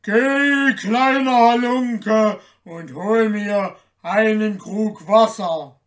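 A man voicing a huge, gruff, gullible old giant from a fairy tale, with a booming, grumbling, drawn-out delivery. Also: a bit bright, exaggerated voice. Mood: loud, domineering. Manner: slow, drawn-out, emphatic delivery.

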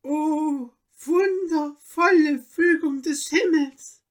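A woman reader puts on a noble countess from a storybook, lifted high in pitch. She speaks very slowly in solemn, courtly phrases. Her temper is gracious and full of feeling. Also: steady tone. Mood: overjoyed, tearful. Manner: courtly, old-fashioned, solemn diction. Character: gracious noble countess, deeply moved and grateful.

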